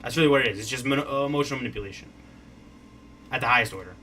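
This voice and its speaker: Blunt man with a forceful bright voice. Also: mid-range voice, racing, slight background noise. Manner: blunt, argumentative, profane. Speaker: commentator reacting to a show clip. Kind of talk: casual reaction commentary.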